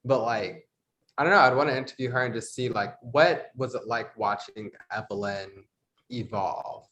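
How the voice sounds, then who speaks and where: A man in a book club, talking in a relaxed, reflective way. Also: medium pitch, normal speed, highs cut off. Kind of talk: casual book discussion.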